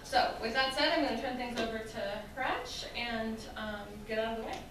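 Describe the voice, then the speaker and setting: A woman's voice: fairly high pitch, normal speed, heavy background noise. Hesitant woman introducing a speaker. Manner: hesitant, with frequent ums and uhs. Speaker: event organizer introducing the moderator. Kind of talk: spoken introduction at a public event. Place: university lecture hall. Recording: echoey hall from far off.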